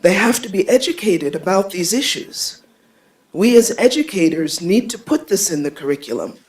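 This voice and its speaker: Brief: male educator, audience comment, earnest and insistent, slightly high voice. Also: sing-song, normal speed. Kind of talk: conference floor comment. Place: conference hall.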